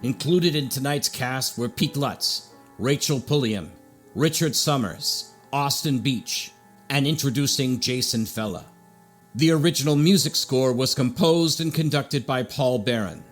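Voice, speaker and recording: A man's voice: medium pitch, unhurried, soft background music. A man reading scripted credits as a formal, theatrical announcer in the style of an old-time radio suspense show. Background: musical score.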